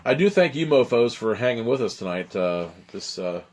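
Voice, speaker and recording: A man with a raspy, strained voice, hosting a live stream where he reads an adventure story aloud and chats with viewers. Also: medium pitch, very animated, normal speed, noisy background. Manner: dramatic narration, then loose rambling chat. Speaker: host reading a choose-your-own-adventure book.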